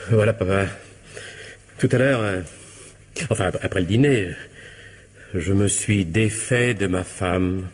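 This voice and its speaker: Husky, hesitant, mild-mannered son. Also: male voice, low-pitched, unhurried, long pauses. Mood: sheepish confessing. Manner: hesitant, polite, earnest. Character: nervous, mild-mannered married son, matter-of-fact about murder. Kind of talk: French radio drama, theatrical comic dialogue.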